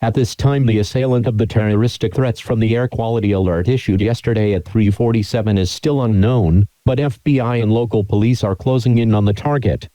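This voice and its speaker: A man reading scripted formal emergency broadcast announcements in a flat, impersonal, measured way. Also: fairly low pitch, dry recording.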